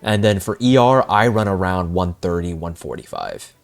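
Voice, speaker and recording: A male streamer with a lowish voice talks in an informal, conversational way. He is reacting and chatting live while faint game music plays behind him. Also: moderate pace. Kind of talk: casual livestream chat.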